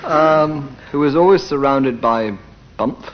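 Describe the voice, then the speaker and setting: A man's voice: mid-range voice, slow pace. A man bantering as a comedy panellist on a radio game before a studio audience. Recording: dull, very noisy old radio recording. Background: loud studio audience.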